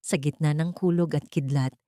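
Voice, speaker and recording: A woman's voice: deep voice, steady tone, normal speed, warm tone, no echo, clean studio sound. A woman narrating a dramatic audiobook story from a script.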